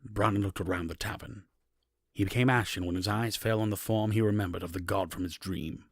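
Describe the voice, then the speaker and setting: Deep, gravelly man as fantasy storyteller. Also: fairly even intonation, fairly quick. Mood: solemn. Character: solemn male storyteller of a dark fantasy tale. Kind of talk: scripted narration.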